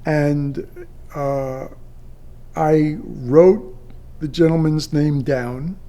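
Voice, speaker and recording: An older man tells a story from his working life as a retired controller. His delivery is slow and halting, with long pauses between phrases. The tone is mellow and slightly high for a man. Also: steady tone. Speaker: retired air traffic controller. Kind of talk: unscripted personal storytelling.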